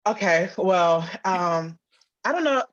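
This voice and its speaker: A woman chatting casually as an interview guest, speaking in an animated, informal way peppered with "like". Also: fairly low pitch, slow pace, dull audio. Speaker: guest, audio drama writer and producer.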